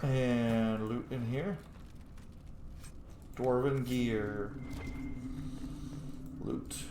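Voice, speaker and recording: A man's voice: medium pitch, fairly even intonation, very slow, a little mellow. A man giving casual, rambling, opinionated gameplay commentary, with some swearing. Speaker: gaming video host. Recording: roomy echo, faint background noise.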